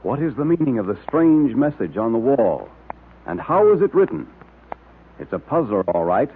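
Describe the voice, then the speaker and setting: A man announcing in an emphatic 1930s radio style, pitching to young listeners, on a tinny old recording. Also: a little hiss or hum.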